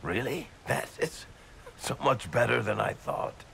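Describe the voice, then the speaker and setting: An actor playing a teasing, offhand dwarf storyteller in a video game. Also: male voice, mid-range voice, lively intonation, a little slow, creaky, fairly bright tone, slight background noise, exaggerated voice. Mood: wry. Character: wry, easygoing male dwarf storyteller.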